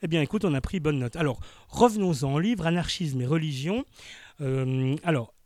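A man's voice: on the high side, moderate pace, darkish tone, no echo. A man hosting a live radio interview from a studio, often rephrasing his questions as he goes. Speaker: radio host.

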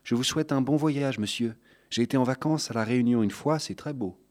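A man voicing a polite, chatty customs officer making formal, unhurried small talk in an audiobook. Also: medium pitch, a little mellow, pitched above the performer's own voice, lightly acted. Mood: polite, casual.

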